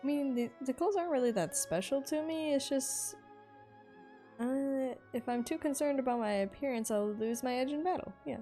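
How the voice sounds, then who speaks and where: Mellow, high-voiced woman streamer. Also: moderate pace, soft background music. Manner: slangy, joking asides. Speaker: game streamer. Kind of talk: casual playthrough commentary, reading player lines aloud.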